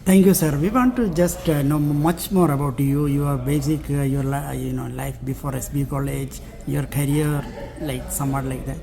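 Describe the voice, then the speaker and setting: A man interviewing a guest, asking halting, hesitant questions. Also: medium pitch, fairly even intonation, gravelly, a crowd in the background.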